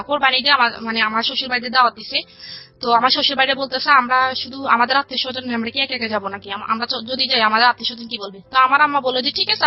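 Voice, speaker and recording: A young woman, telling a personal story as an interview guest in a rambling, repetitive way. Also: a little high. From rural Bangladesh. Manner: rambling, repeats phrases, quotes family conversations. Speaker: radio show guest telling her life story. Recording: dull lo-fi audio with music behind. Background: background music.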